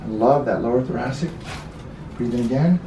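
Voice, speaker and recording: A man's voice: medium pitch, fairly quick, over music. A man working as a chiropractor, coaching patients in short, repeated instructions with approving remarks. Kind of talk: calm hands-on coaching of patients during adjustments. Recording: roomy, distant mic, loud background noise.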